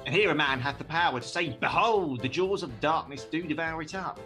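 A man's voice: a little high, a little fast, sharp tone, soft background music. An actor playing an ardent young male lover in a Shakespearean radio play, speaking verse with theatrical passion. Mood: tender, lamenting. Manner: impassioned, theatrical verse delivery.

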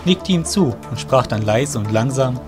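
Male storyteller over clear music. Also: medium pitch, lively intonation, normal speed. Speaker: narrator. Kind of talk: scripted fantasy audio drama narration.

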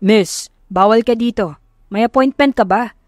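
A woman voicing a gruff, judgmental male bar bouncer in an audio love story. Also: fairly high pitch, a little slow, no echo, studio quality, faint music behind, lightly acted. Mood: stern, dismissive. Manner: curt, judgmental.

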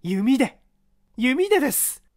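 A man's voice: extremely high pitch, big pitch swings, measured pace, darkish tone, no room sound, studio quality, pitched above the performer's own voice, full character voice. A man voicing an earnest unemployed young man who argues his case with eager intensity in a mystery reading. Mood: excited.

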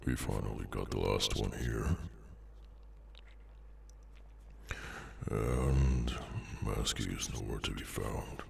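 Man voicing a very deep, gravelly demonic henchman. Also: fairly even intonation, a little mellow, slight background noise, exaggerated voice. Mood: weary, annoyed. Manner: slow, low-key, casually sinister, sardonic. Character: menacing, weary male demonic henchman with tendrils, cultist. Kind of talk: ASMR audio roleplay.

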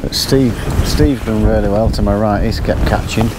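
A man's voice: fairly low pitch, steady tone, normal speed. A man giving casual live commentary while fishing a match, chatting to viewers as folks, recorded outdoors by a lake on a body-worn mic. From England. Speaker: angler presenting a fishing match. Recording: wind noise on outdoor mic.

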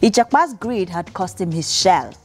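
A woman's voice: on the low side, lively intonation, normal speed, breathy edge. Young woman telling a bedtime story over music. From Nigeria. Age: young adult. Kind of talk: scripted bedtime storytelling for children. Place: indoor room, seated by a piano.

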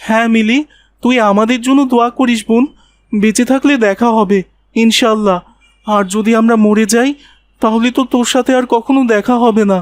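A man voicing a polite, gentle young village boy in a cartoon. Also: very high voice, steady tone, a little fast, no echo. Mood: sad, earnest. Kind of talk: cartoon voice acting.

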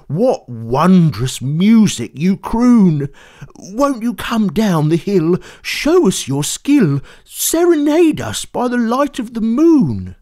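A storyteller voicing an excitable young male frog musician who exclaims loudly and eagerly. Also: mid-range voice, slow pace, heavily acted. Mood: awed.

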